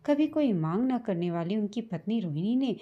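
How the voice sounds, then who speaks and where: A woman reading a scripted, sentimental family story as a storyteller, in a measured manner. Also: medium pitch, expressive, muffled recording. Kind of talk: scripted emotional story narration in Hindi.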